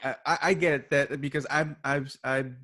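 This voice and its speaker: Man, medium pitch, podcast guest, casual storytelling, halting delivery, repeated phrases, acted-out dialogue. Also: fairly even intonation, sharp tone. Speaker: podcast guest, writer and voice actor.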